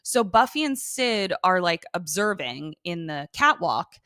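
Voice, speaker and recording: Woman, podcast co-host, bright forceful voice, casual recap chat, irreverent and sweary, dry close sound. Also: on the low side, big pitch swings, a little slow, no room sound.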